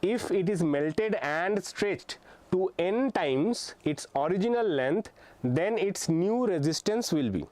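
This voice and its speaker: A man's voice: high-pitched, animated, slow pace, darkish tone, no room sound. A young man teaching an online physics exam-prep lesson. He explains step by step, often hesitates with "uh" and checks in with "okay". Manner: frequent hesitations with uh and um.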